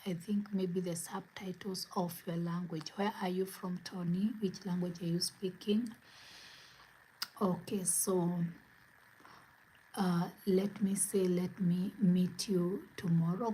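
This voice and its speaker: A woman from Kenya hosting a spiritual livestream from home, speaking in gentle, repetitive affirmations. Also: fairly low pitch, slight background noise, rough recording. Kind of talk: inspirational livestream talk. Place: home, where it is late at night.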